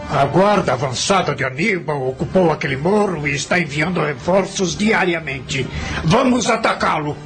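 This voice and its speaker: A man's voice: fairly high pitch, expressive, normal speed, a bit bright, soft background music. A man playing an eager, overconfident Roman cavalry commander in a radio drama, speaking urgently. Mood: eager, insistent.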